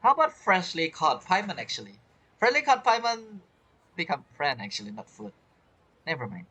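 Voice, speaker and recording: A man's voice: sits high, huge pitch swings, moderate pace, sharp tone, slightly rough, rough recording. A young man giving chatty, playful commentary over a game playthrough. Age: young adult. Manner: chatty, playful, reacts to the characters. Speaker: gaming streamer.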